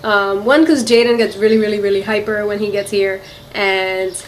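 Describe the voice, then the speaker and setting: A woman's voice: mid-range voice, steady tone, moderate pace, slight background noise, poor audio. A young woman with a Philadelphia accent, chatting casually to camera in a rambling way, with lots of "um" and "like". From Philadelphia, USA. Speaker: beauty vlogger. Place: quiet room in a house.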